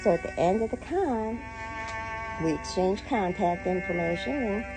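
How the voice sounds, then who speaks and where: Casting: middle-aged American woman, mid-pitched, sassy and playful casual storyteller, with clear background music. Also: lively intonation, normal speed, low-quality recording. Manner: playful, sassy, acts out dialogue. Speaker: storyteller, online content creator. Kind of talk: casual personal storytelling to camera. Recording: music playing behind the voice.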